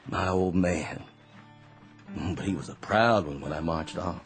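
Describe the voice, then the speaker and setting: An actor playing a gentle, weary man back from war, talking softly in plain folksy words. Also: low-pitched, unhurried, faint music behind. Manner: soft-spoken, plain folksy talk. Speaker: actor in a radio drama. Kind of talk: radio drama acting.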